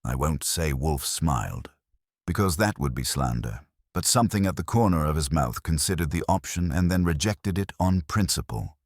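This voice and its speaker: An extremely low-voiced man narrates detective fiction with a husky grain. His delivery is wry and dramatic, rising and falling in lively swings, recorded dry and close. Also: normal speed, dry recording. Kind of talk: scripted first-person detective story narration.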